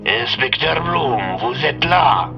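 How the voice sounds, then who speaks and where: A man's voice: medium pitch, animated, takes it slowly, creaky, music in the background, pitched above the performer's own voice. A man voicing a smug crime boss in an audio drama, heard through a radio filter. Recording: muffled lo-fi radio transmission.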